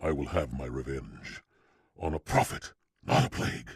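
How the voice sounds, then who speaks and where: An actor playing a stern, vengeful alien warrior in a video game, growling, solemn and terse. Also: male voice, animated, normal speed, creaky, slightly rough, dry recording, clean studio sound, exaggerated voice. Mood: grim, vengeful. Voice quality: very deep, growling. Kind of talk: dramatic video game voice acting.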